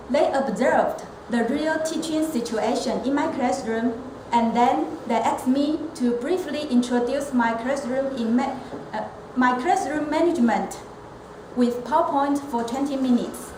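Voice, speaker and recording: High-voiced, slow-speaking woman. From Taiwan. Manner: measured, earnest, with occasional restarts. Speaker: elementary school teacher and club member. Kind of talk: prepared club speech. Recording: echoey room with steady background noise.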